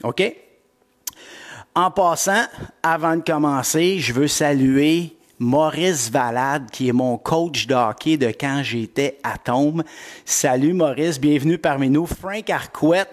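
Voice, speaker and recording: A man's voice: fairly high pitch, slow. Québécois coach guy doing a live workout stream, upbeat, calls out viewers by name, takes his time. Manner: energetic, greets viewers by name. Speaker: fitness coach.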